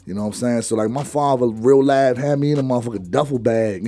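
A man's voice: mid-range voice, quick pace, crowd noise. A young man from Afghanistan, a rapper guest, telling stories in loose slangy talk full of fillers, close on a studio mic. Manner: laid-back slangy storytelling, many fillers. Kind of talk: casual interview talk. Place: radio studio with branded backdrop. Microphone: studio mic close up, headphones on.

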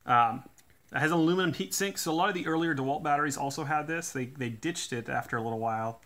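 Bright-voiced man explaining a teardown. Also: fairly high pitch, fast. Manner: relaxed, many "uh" fillers, technical detail. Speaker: DIY tool reviewer. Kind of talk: casual hands-on teardown talk. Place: indoors at a workbench.

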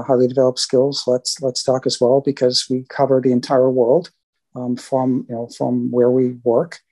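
Flat-voiced man with halting delivery. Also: medium pitch, low-quality recording. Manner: halting, many fillers. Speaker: senior government language advisor. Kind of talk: closing remarks of a presentation.

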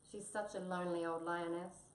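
A woman's voice: on the low side, little pitch movement, normal speed, natural voice. Woman playing a vain, pompous storybook tabby cat. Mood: sorry for himself. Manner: pompous, dramatic. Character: vain, grand, self-pitying male tabby cat. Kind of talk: children's storybook character voice. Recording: roomy echo, faint background noise.

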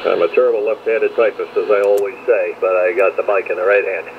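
A man's voice: sits low, steady tone, quick pace. A man chatting casually as he runs amateur radio check-ins, heard over a two-way radio. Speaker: radio net operator. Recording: phone-like radio speaker with clear static noise.

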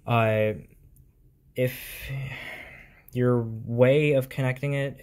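A man giving an informal tech tutorial in a halting, explanatory way, recorded on a handheld phone in a room at home. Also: medium pitch, darkish tone. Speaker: hobbyist video host. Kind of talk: casual tech tutorial vlog. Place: small indoor room at home.

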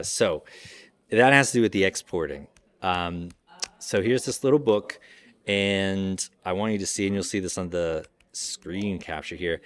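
Low-voiced man giving a demo. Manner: conversational, explanatory. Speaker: audio software company representative giving a demo. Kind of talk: informal product demonstration.

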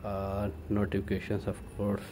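A man presenting an informal step-by-step tech tutorial in a halting way, thinking aloud as he works. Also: on the low side. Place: indoors at a computer desk. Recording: steady room noise.

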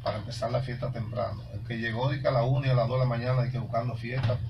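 A man's voice: medium pitch, steady tone, racing, creaky, lo-fi sound. Man, Dominican accent, singer guest, casual talk, distant pickup, noisy radio studio. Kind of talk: casual radio interview chat.

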